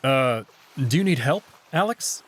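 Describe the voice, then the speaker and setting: A man's voice: mid-range voice, animated, measured pace. A man playing a young human visual novel protagonist who talks casually with a wry, mildly defensive manner. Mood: helpful.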